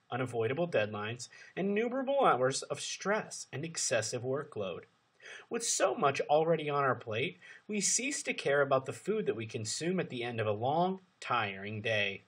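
A man reading scripted instructional narration as a narrator, with emphatic, deliberate phrasing. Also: medium pitch, fairly bright tone, slightly rough.

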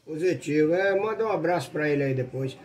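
High-pitched Brazilian man over two-way radio. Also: animated, fairly quick. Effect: heard over two-way radio. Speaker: amateur radio operator. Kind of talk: casual two-way radio chat. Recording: faint radio noise.

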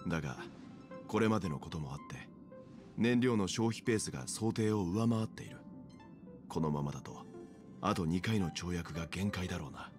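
An actor playing a mature, thoughtful male mentor in an anime game, explaining things in a measured manner. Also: sits low, expressive, darkish tone, soft background music. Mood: serious, explaining.

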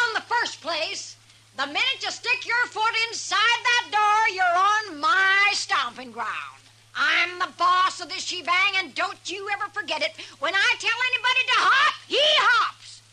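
An actress playing a huge, brash frontier saloon owner in a 1950s radio western, blustering and commanding in folksy slang with a booming, brassy voice. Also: female voice, bright, forceful tone, full character voice. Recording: vintage 1950s radio drama recording.

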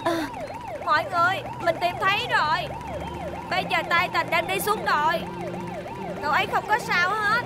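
A woman's voice: very high-pitched, moderate pace, long pauses, very noisy. A woman voicing a friendly, earnest young rescue helicopter robot in a children's cartoon, with a southern Vietnamese accent. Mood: urgent.

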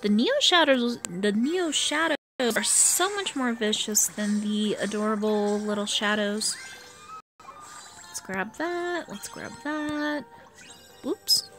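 Tired american gamer girl, woman w high voice, slow chatty commentary while she plays. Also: fairly bright tone. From US East Coast. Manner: chatty, thinking aloud. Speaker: gaming video host. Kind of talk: casual gameplay commentary. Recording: game music behind the voice. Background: video game music.

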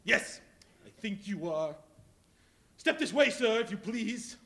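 A man's voice: sits high, sing-song, slow pace, a bit bright, heavily acted. A man playing an elderly miser, overjoyed and laughing, in a live stage reading in a large hall. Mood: mock stern, jolly. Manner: giddy, exclaiming, laughing. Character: elderly male miser, joyful and giddy.

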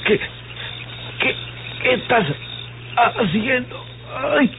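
An actor playing a feverish, gravely wounded man in a radio drama, speaking faintly and haltingly. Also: extremely high pitch, exaggerated voice. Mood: weak, suffering. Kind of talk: old Mexican radio drama acting. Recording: noisy, tinny old radio recording.

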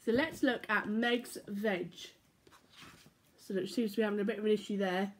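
A young woman reading picture books aloud to children from her living room, playful and expressive. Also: medium pitch, moderate pace. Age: young adult. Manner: animated, playful read-aloud with sound effects. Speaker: church children's storyteller. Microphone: laptop or phone camera at arm's length.

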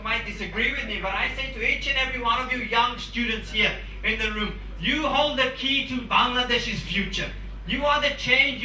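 A man's voice: very high voice, bright, forceful tone, recorded from a distance, slight background noise. A young man in his twenties giving an emphatic, repetitive motivational speech to students through a handheld mic in a large conference room. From England. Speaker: motivational speaker. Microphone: handheld mic, far camera. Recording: echoey room, recorded from afar.

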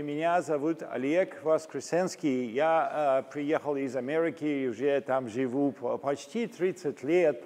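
An older man from Moscow addresses a church gathering in a genial, joking way, often pausing on drawn-out filler sounds. His voice sits a little high, and a long hall reverb trails behind it. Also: a little mellow. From Moscow, Russia. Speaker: guest lecturer. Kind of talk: informal talk to a church audience.

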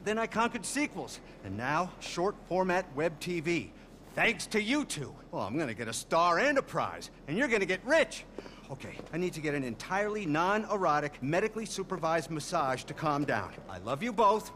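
A manic older male producer from a video game, blustering and vulgar. His voice sits high and jumps all over the place. He fires off ideas in scattered bursts. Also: bright, forceful tone, slight background noise, full character voice. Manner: rapid-fire, scattered pitches, blustering.

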